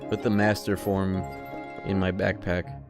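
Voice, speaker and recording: Deep-voiced sardonic male streamer. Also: flat delivery, a little slow, music in the background. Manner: laid-back, sardonic, swears freely. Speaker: game streamer. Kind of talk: casual live gameplay commentary.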